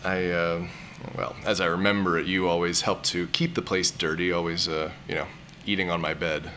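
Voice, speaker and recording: A man's voice: deep voice, level tone, moderate pace, pushed and bright, a little hiss or hum. A man playing an awkward, melancholy, exasperated character in an audio comedy-drama, with a hesitant, stammering delivery. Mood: flustered.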